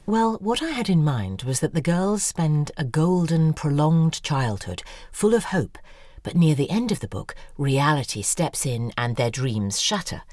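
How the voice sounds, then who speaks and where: An actress in an audio drama playing a self-obsessed, exuberant novelist who speaks in an effusive, articulate, theatrical way. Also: female voice, low-pitched, sing-song, a little slow, darkish tone, dry recording, studio quality, heavily acted. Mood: exuberant, grandiose.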